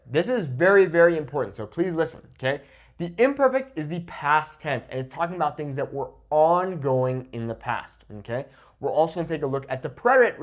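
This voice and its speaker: A man in his thirties giving an instructional language lesson to camera in an emphatic, didactic way, checking in often with the listener. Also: on the high side. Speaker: Spanish teacher. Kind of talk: instructional lesson to camera, switching between English and Spanish examples. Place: indoors, in front of a whiteboard. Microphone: lapel mic clipped to the shirt.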